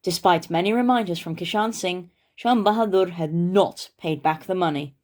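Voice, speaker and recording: A woman's voice: on the low side, moderate pace, a little mellow, a little hiss or hum. A woman narrating a story for children as the host of a read-aloud show.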